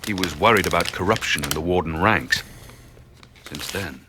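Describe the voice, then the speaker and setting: A man's voice: low voice, moderate pace, background noise, close to an everyday voice. An actor voicing a weary, resolute male hero in video game dialogue, grave and earnest. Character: weary, resolute male champion turned rebel mage hero.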